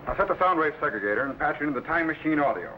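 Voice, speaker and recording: Lively authoritative time-machine captain, played by a man. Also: on the high side, racing, natural voice. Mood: commanding, focused. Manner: crisp commands, instructive. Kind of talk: 1950s radio sci-fi acting. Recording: noisy muffled old radio recording.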